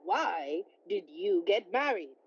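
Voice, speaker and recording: A woman's voice: lowish voice, sing-song, slow pace, husky, heavily acted. Miserly old man of business played by a woman: curt, scornful, theatrical, radio drama, slightly dull lo-fi sound. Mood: cold, dismissive. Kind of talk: radio play acting.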